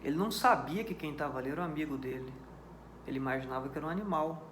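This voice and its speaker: A high-pitched Brazilian man teaching a law class in a didactic way, repeating his key points and stopping often to ask whether listeners understand. His voice carries the echo of a reverberant room with faint background ambience. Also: racing, fairly bright tone, slight background noise. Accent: Brazilian Portuguese. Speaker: law lecturer. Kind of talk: recorded lecture on criminal law.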